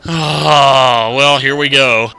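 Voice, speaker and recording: A man's voice: medium pitch, fairly even intonation, extremely slow, gravelly, bright, forceful tone, clean tone. A young American man giving casual, rambling let's play commentary with wry asides. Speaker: gaming video host. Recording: game music behind the voice. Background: video game music.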